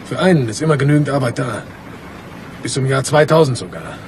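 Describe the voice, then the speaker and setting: An actor playing a bitter, insistent elevator fitter in a German radio crime drama. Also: male voice, mid-range voice, lively intonation, a little fast, lightly acted. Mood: accusing. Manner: confrontational, insistent. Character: bitter, accusing male elevator fitter fearing for his job. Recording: noisy ambience behind the voice.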